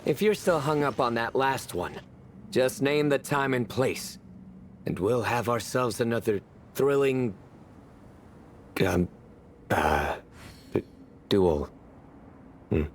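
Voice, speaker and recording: A man's voice: medium pitch, lively intonation, slow pace, pauses often, slight background noise. An actor playing a cocky, bantering young male adventurer in a video game, teasing and theatrical. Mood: teasing, flustered. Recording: faint game music behind voice.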